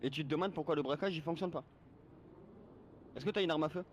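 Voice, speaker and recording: Rapid male gamer on muffled, noisy voice chat. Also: a little high. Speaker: role-play player and server staff. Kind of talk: casual improvised game voice chat. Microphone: gaming voice chat. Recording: dull, noisy game voice chat.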